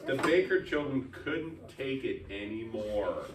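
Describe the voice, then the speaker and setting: A man's voice: mid-range voice, expressive, measured pace. Man teacher coaching reading, echoey and distant. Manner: coaching, prompting readers by turn. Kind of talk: leading a readers theatre reading lesson. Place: indoor room with children reading aloud. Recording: roomy echo, distant mic, faint noise.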